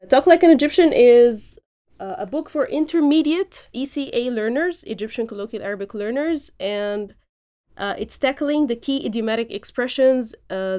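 A woman's voice: on the high side, dark tone. A woman hosting a podcast, explaining language points in an instructive way with frequent fillers. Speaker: podcast host and Arabic teacher. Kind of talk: lecture-style podcast recap.

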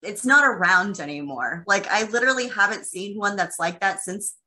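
A woman's voice: mid-range voice, lively intonation, moderate pace, sharp tone, poor audio. A woman from Texas hosting a podcast interview, chatty and gushing, with lots of filler words. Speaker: podcast host. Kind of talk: casual interview chat.

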